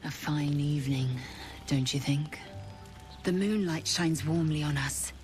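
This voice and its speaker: A woman's voice: low voice, measured pace, a little mellow, faint music behind. An actress playing a haughty, stern alien warrior woman in a fantasy game, with clipped, imperious, formal delivery. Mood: haughty, brooding.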